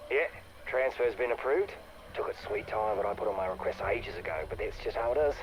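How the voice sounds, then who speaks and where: An actor playing a cocky, boastful New Zealander worker, talking in slangy, dismissive lines for a game audio log. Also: male voice, lowish voice, fairly quick, a little hiss or hum, lightly acted, low-quality recording. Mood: cocky, bragging.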